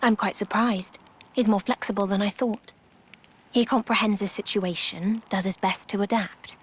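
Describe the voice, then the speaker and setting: An actress playing a composed, wise and formal travelling companion in a video game cutscene, speaking in measured, articulate phrases. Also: female voice, medium pitch, steady tone, breathy edge, lightly acted. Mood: calm, reflective.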